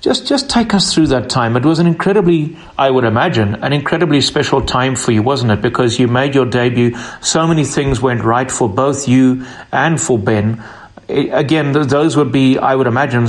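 A man's voice: mid-range voice, normal speed. Guy hosting an interview, medium voice, chatty leading questions. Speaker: interviewer, host.

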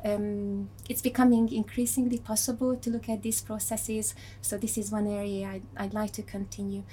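A woman's voice: mid-range voice, little pitch movement, normal speed, mellow, slight background noise, poor audio. A woman who researches second language acquisition, explaining her work carefully and steadily in an academic discussion.

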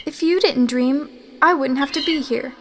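A woman's voice: sits high, normal speed, noisy background, natural voice. An actress playing a timid, frightened dream double of a woman in an old radio drama, pleading and fearful. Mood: plaintive. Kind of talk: 1950s radio drama acting.

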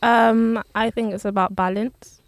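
A woman's voice: on the high side, fairly even intonation, unhurried. A twenty-year-old woman co-hosting a podcast in casual chat, easygoing and joking. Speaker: podcast co-host.

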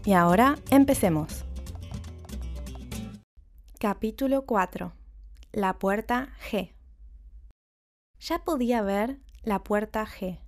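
Slow, clear woman narrating a lesson. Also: medium pitch. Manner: clear, careful enunciation. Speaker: podcast host and story narrator. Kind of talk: scripted narration for language learners.

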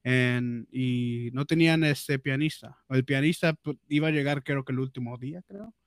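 A man's voice: medium pitch, normal speed, dry recording, studio quality. A man chatting casually as a podcast guest, slangy and jokey, peppering his Spanish with English fillers. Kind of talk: casual bilingual chat.

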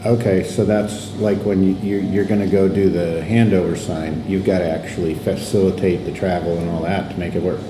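A man's voice: sits low, flat delivery, a little fast, hardly any pauses, noisy background. A man hosting a podcast and interviewing a guest, talking casually with many fillers. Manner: laid-back, lots of fillers, asks short follow-up questions. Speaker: podcast host and sign painter. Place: bar.

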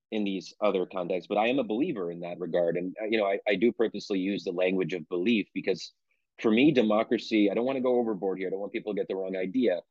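A man's voice: on the low side, darkish tone, dry recording. Man, author guest, thoughtful, restarts often, dry lo-fi sound. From United States (Pennsylvania). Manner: thoughtful, self-qualifying, frequent restarts. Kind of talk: conversational interview answer.

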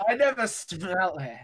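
A man's voice: high-pitched, bright, forceful tone, a little hiss or hum. A young man taking part in a podcast, chatting casually. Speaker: podcast participant. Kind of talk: casual chat.

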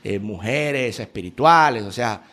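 A man's voice: mid-range voice, expressive, measured pace, fairly bright tone. A young adult man with a Dominican accent, telling stories conversationally as an interview guest. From Dominican Republic. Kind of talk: casual conversational storytelling.

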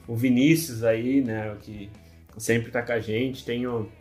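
A man from Brazil co-hosting a casual football podcast, relaxed, chiming in and adding comments. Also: mid-range voice, measured pace, soft background music. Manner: relaxed, agreeing, adds short comments. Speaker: podcast co-host.

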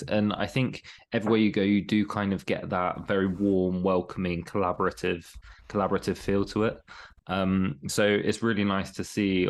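Co-host, deep voice, easygoing chat, trails into his questions. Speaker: podcast co-host. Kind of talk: casual podcast chat.

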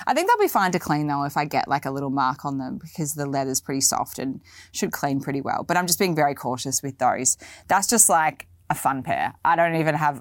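Chatty low-voiced Australian woman. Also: no echo, clean studio sound. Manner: chatty, enthusiastic, lots of likes and ums. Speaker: fashion podcast host. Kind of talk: casual product walkthrough.

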